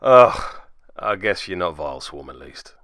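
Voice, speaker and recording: A man's voice: low voice, very animated, unhurried, fairly bright tone, a little hiss or hum. A man hosting and narrating a tabletop game move by move, with theatrical flourishes and wry asides. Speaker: podcast host and player.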